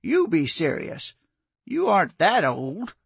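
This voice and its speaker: Man playing a courtly old gent, gently teasing, formal, lively gravelly voice, close dry sound. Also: high voice, takes it slowly, dry recording, pitched above the performer's own voice, full character voice. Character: older gentleman, courtly, teasing, good-humored. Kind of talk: audiobook character voice.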